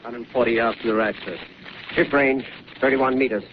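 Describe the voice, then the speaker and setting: A tense artillery gunner, played by an actor, barks ranges and fire orders and repeats them back. His voice is mid-pitched. The 1930s broadcast is muffled and buried in loud hiss. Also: steady tone, moderate pace, natural voice. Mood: brisk. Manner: barked repeated military commands. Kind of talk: 1930s radio drama acting.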